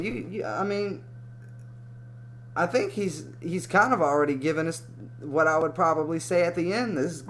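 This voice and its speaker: A man talks over a clip in loose, profanity-laced reaction commentary, jumping between excitement and sarcasm. His voice sits at an ordinary male pitch. A faint hum runs beneath it. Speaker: video commentator.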